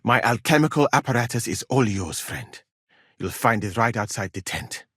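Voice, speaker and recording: A man's voice: medium pitch, animated, a bit bright, slightly rough, no echo, studio quality. A man playing a proud, exacting medieval physician in a video game, speaking formally and precisely. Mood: measured, guarded. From Mali. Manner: formal, measured, precise wording. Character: proud, exacting foreign male physician in a medieval war camp. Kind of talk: dramatic video game dialogue.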